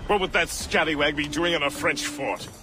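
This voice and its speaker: A man's voice: on the high side, normal speed, close to an everyday voice. A man playing a jovial, talkative, folksy first mate of a warship in a video game. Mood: cheerful. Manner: folksy, chatty. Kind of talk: video game cutscene acting. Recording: music under the dialogue.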